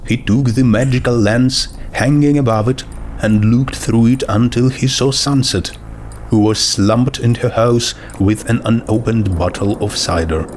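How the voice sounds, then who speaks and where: A man's voice: medium pitch, unhurried, a little mellow, a little hiss or hum. A man reading a story aloud as narrator, in a scripted storytelling style. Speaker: fan fiction narrator.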